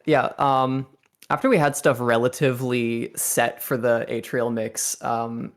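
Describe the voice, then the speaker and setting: Young guy rambling thru a song commentary, laughs a lot, mid pitch. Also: level tone, measured pace. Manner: rambling, self-deprecating, laughs often. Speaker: songwriter on a fandub commentary. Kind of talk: casual group commentary.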